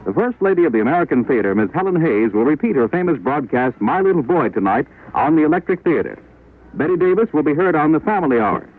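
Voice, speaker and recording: Lively-pitched male announcer on tinny old radio. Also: fast talker. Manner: crisp, formal reading. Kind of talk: scripted 1940s radio announcing. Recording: tinny vintage radio recording.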